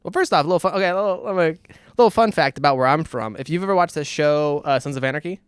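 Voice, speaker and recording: A man's voice: a little high, quick pace, clean tone, no room sound, studio quality. A young man with a Californian accent, chatting and telling anecdotes as a podcast host in an opinionated, emphatic, rambling way. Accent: Californian American. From California, United States. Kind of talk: casual chat, anecdotes.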